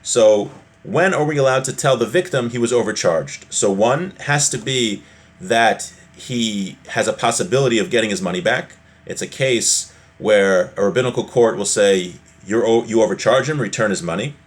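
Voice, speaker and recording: A man's voice: lowish voice, normal speed. A man giving an informal religious law lesson, reading from a text and explaining it with everyday hypothetical examples. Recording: noticeable background noise.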